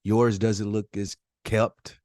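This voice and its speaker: A man with a low-pitched voice talks as a podcast host. The sound is dry, with no room around it. He chats casually in slang and repeats his phrases to stress a point. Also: level tone, slow pace, warm tone, no echo.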